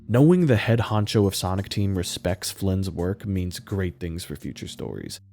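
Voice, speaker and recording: A young man talking about comics on his own channel in an excited, gushing fan manner. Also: on the low side. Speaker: YouTube commentator. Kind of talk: enthusiastic fan commentary.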